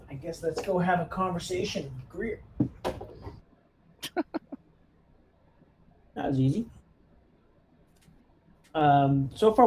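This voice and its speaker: A man's voice: a little high, warm tone, rough recording. A man playing a tabletop roleplaying game, casually talking through his squad's next moves over some background noise. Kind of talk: casual in-game planning chat.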